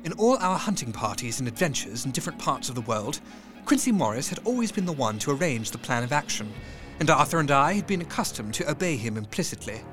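A man's voice: medium pitch, sing-song, music in the background. Man narrating a tense audio drama. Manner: tense, measured storytelling with hushed dips.

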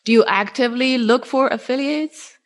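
A woman interviewing someone casually, asking questions haltingly and rephrasing them midway. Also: on the high side.

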